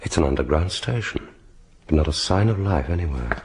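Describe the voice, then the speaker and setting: An actor in a radio drama playing a cool, wry British secret agent with a dry, understated delivery. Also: male voice, a bass voice, expressive, a little fast. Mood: wary, observant. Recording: background noise behind the voice.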